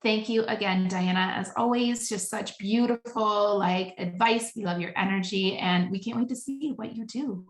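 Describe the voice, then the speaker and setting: A woman's voice: mid-range voice, normal speed, hardly any pauses. A woman hosting a casual interview, chatting in an enthusiastic, effusive way. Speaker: podcast host, business coach. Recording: roomy, echoing voice pickup.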